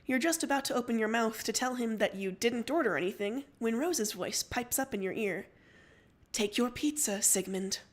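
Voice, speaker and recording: A woman reading a story aloud as narrator, in an expressive storytelling style. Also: a little high, a little fast, hardly any pauses. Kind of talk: expressive fiction reading on a livestream.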